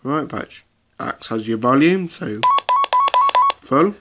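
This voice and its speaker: A man giving an informal hands-on tutorial in a halting, repetitive way, often checking in as he goes. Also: mid-range voice, expressive. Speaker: presenter of a tutorial. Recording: noisy homemade recording.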